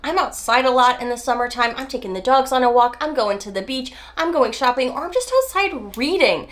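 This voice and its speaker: Beauty vlogger, woman in her 40s, a bit nasal from a cold, chatty and emphatic, highish voice. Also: a bit bright. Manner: chatty, emphatic, drawn-out stresses. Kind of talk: casual talk to camera.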